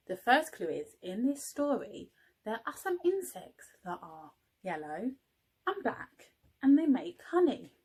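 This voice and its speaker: A woman's voice: mid-range voice, breathy edge. A woman, an early years teaching assistant at home, encouraging young children and asking them questions as she reads a story.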